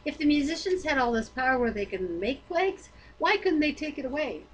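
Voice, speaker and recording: Female, fairly high voice, casual Bible-study co-host, slightly noisy home recording. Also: sing-song, a little fast. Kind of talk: Bible reading aloud and casual discussion.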